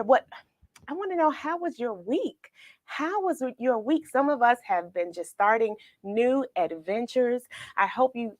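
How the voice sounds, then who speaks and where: A woman's voice: fairly high pitch, dry recording. A woman hosting a children's read-along livestream, chatting casually and cheerfully to her viewers like a teacher. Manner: cheerful, addressing the audience directly like a children's teacher.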